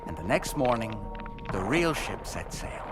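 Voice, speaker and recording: An actor playing a solemn storyteller who tells an eerie sea tale in a measured, deliberate way with suspenseful pauses. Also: male voice, fairly low pitch, sing-song, over loud music. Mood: solemn, hushed storytelling. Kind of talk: dramatic scripted storytelling.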